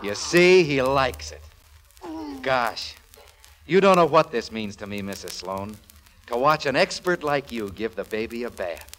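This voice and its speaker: An actor playing a friendly, boyishly eager bachelor who is handy with babies, with a cheerful folksy manner in a 1940s radio comedy. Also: male voice, medium pitch, expressive, unhurried, fairly bright tone. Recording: noisy 1940s radio broadcast with clicks.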